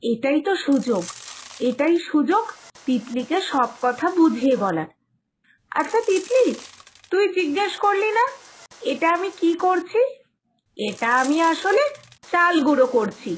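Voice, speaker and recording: A woman's voice: high voice, sing-song, unhurried, pauses often, a little hiss or hum. A woman voicing a doting, hard-working village mother in a cartoon moral story, with a coaxing, explanatory manner that repeats phrases. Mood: patient, coaxing.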